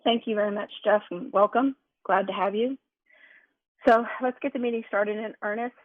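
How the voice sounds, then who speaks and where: Hoarse woman chairing a conference call. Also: medium pitch, fairly even intonation, normal speed, dry recording. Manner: organized, sets ground rules. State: slightly hoarse from allergies. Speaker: committee chair. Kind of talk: formal meeting opening. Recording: tinny conference call line.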